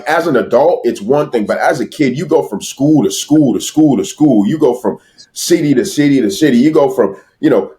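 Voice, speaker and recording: A man's voice: mid-range voice, dark tone. An American man talking casually as an interview guest, emphatic and repetitive, with slangy asides to the listener. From United States. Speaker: podcast guest, sales entrepreneur. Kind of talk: casual motivational interview talk.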